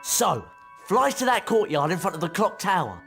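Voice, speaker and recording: Earnest, high-voiced British schoolboy. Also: male voice, very animated, normal speed, vocal fry, heavily acted. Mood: urgent. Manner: urgent, earnest. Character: earnest, worried young schoolboy wizard. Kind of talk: video game voice acting. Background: music.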